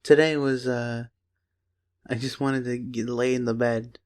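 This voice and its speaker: A man's voice: mid-range voice, level tone, unhurried, a little mellow. A young man co-hosting a podcast, chatting in a loose, rambling way with plenty of swearing. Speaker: podcast host. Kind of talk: casual chat.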